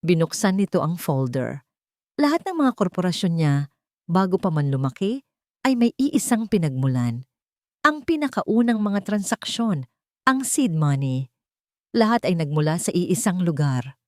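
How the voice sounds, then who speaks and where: A woman's voice: on the low side, very animated, measured pace, a little mellow, no echo, clean studio sound. A woman reading a scripted dramatic story with suspenseful, emphatic delivery.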